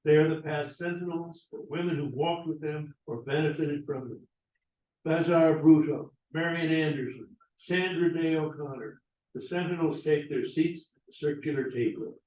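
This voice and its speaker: A man's voice: on the high side, moderate pace, darkish tone. Echoey, distant man reading stage directions. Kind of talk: scripted narration at a play table read. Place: echoey indoor room, away from the mic.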